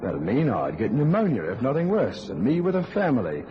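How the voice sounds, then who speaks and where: A man's voice: medium pitch, huge pitch swings, a little fast, highs cut off. An actor playing a kindly, down-to-earth night-beat policeman with a folksy, coaxing manner in old-time radio style. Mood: firm, coaxing. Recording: noisy, band-limited old radio recording.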